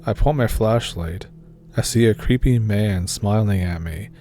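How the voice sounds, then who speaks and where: A man calmly reading scary stories aloud as the narrator of a horror channel. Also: lowish voice, unhurried, faint music behind.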